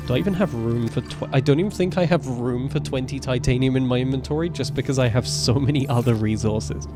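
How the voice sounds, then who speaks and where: A man's voice: fast, music in the background. Chatty young man streaming a game. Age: 20s. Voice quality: naturally deep voice. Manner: chatty, rambling, self-correcting. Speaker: gaming streamer. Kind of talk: casual live gameplay commentary.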